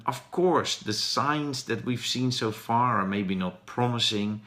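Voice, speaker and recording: A man's voice: lowish voice, vocal fry, fairly bright tone. A man in his forties from the Netherlands with a Dutch accent, talking earnestly and encouragingly on a daily live stream, with frequent ums and uhs. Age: 40s. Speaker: vlogger.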